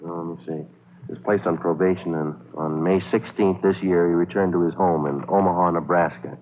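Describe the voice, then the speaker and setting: Low, fast, clipped radio detective. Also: male voice, fairly even intonation, a little hiss or hum. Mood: terse, factual. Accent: American. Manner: clipped, matter-of-fact. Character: terse, businesslike male police detective sergeant narrator. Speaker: lead actor and narrator. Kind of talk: 1940s-50s radio police drama acting. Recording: narrow, tinny old radio recording, music.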